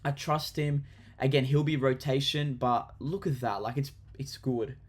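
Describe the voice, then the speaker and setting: A man's voice: mid-range voice, fairly even intonation, measured pace, slightly rough. A young Australian man chatting casually to camera about his fantasy football team, enthusiastic, with lots of ums and restarts. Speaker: football vlogger.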